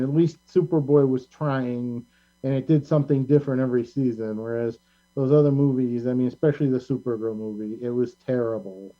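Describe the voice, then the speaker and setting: A man with an average-pitched voice hosts a casual chat about pop culture. He is opinionated and rambles, often halting as he goes. The recording is dull and band-limited. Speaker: talk show host.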